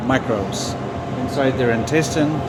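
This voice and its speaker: A man with a New Zealand accent gives a guided tour of an exhibition. He speaks emphatically at a middle pitch, stacking his points in lists. Ventilation and gallery noise are audible around his voice. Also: a little slow. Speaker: exhibition curator, architecture professor.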